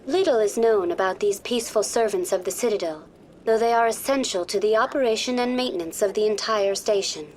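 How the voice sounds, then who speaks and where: A woman voicing a polite virtual tour guide terminal in a video game, formal, courteous and measured in scripted informational narration. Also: mid-range voice, a little mellow, slight background noise, lightly acted. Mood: polite, informative.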